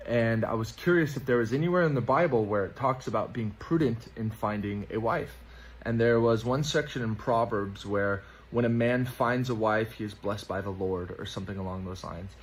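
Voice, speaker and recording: A man in his thirties giving a reflective, measured monologue as a guest on a livestream. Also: lowish voice, poor audio. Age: 30s. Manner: thoughtful, measured.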